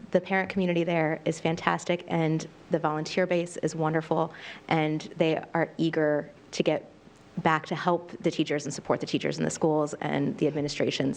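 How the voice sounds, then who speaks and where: Woman, committee member, low pitch, flat delivery, encouraging. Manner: encouraging, steady. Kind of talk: meeting remarks. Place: meeting room.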